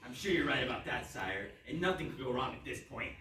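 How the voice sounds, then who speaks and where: A man's voice: medium pitch, animated, quick pace, lightly acted. A man acting a dutiful, gloomily philosophical royal servant in a live readers theatre comedy. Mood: gloomy. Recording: echoey room, distant mic, noisy.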